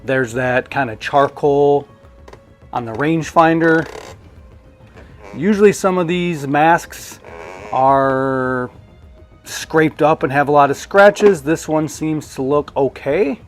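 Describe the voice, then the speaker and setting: A man's voice: a little high, slow pace. Casual male product reviewer over background music. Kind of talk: casual hands-on unboxing review.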